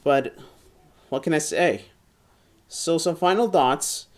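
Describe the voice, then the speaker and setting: A man giving a casual hands-on review in a halting, enthusiastic way, repeating and restarting phrases and stressing key words. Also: on the high side. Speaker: toy reviewer. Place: indoor room at home, seated at a table.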